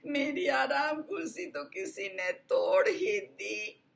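A woman acts out a small storybook baby bear. He sounds plaintive and exclaims in a high little voice with lively swings. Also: slow, gravelly, exaggerated voice. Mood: tearful.

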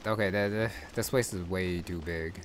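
A man's voice: low-pitched, steady tone, normal speed, background noise. A man giving casual gameplay commentary, rambling as he thinks aloud. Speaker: gaming video host. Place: voice-over with game music behind.

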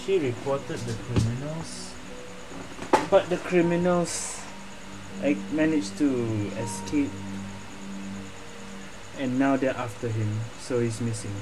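A woman's voice: a bass voice, takes it slowly, pauses often, low-quality recording. A woman giving casual game commentary, thinking aloud somewhat hesitantly. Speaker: gameplay streamer. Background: game music.

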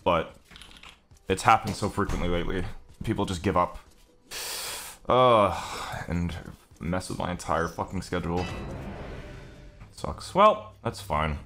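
A man's voice: low voice, unhurried, long pauses, pushed and bright. A man giving casual gameplay commentary as a streamer, griping with exclamations and swearing. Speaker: video game streamer.

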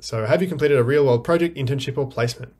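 A man's voice: medium pitch, quick pace, darkish tone. Man in his 20s, hesitant and thoughtful, webcam at home. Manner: thoughtful, hesitant with many ums. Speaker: university student. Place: room with window blinds.

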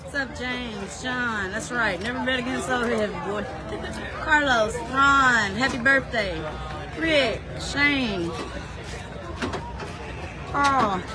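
A man's voice: very high-pitched, slow pace, long pauses. A man greeting people by name at an outdoor drag strip, recorded on a phone. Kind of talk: casual chat with fans. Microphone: phone camera. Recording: loud crowd and engine noise outdoors.

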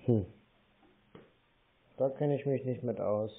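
A man's voice: medium pitch, slow, gravelly, slightly rough. A man talking casually on the phone in short, noncommittal replies, as a customer would. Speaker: prank caller posing as interested customer. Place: phone call. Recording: tinny, band-limited phone line.

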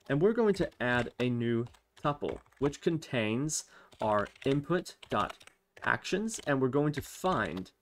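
Guy doing a screen tutorial, medium pitch voice, talks thru each step, noisy recording w keyboard clicking. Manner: explanatory, thinking aloud while working. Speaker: tutorial host. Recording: clear background noise, keyboard typing and clicks. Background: computer keyboard typing and mouse clicks.

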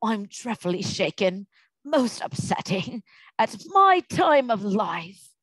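Storybook elderly uncle, performed by a woman: fretful, fussy, sorry for himself, very slow delivery. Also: medium pitch, very animated, fairly bright tone, husky, dry recording, full character voice, poor audio. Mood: shaken. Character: shaken, fussy, self-pitying elderly uncle.

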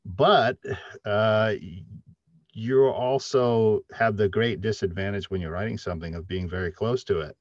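A middle-aged man explaining things as a panelist on a video call, measured and halting as he searches for words. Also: on the low side, no room sound. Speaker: panelist, audio drama writer. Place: indoor room, video call. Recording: dull, lo-fi video-call audio.

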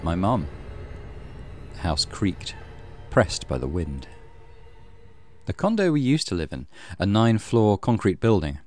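A man reading a horror visual novel aloud as dramatic, measured narration. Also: deep voice, a little mellow, dry recording. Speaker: gaming channel host reading visual novel. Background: music.

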